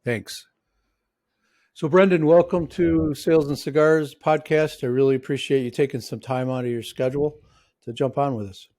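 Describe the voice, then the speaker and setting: A man's voice: on the high side, steady tone, moderate pace, mellow, no room sound. A man with a mixed Midwestern and New York accent hosting a casual podcast interview, rambling with lots of restarts and fillers. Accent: Midwestern and New York American. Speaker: podcast host.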